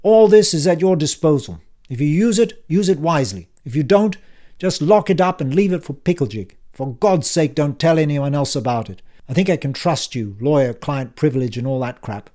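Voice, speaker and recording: A man's voice: a little high, expressive, moderate pace, mellow, pitched above the performer's own voice, close to an everyday voice. A man voicing a brash, impatient older uncle who talks in a cutting, bossy way in an audiobook. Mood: brusque. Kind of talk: audiobook character voice.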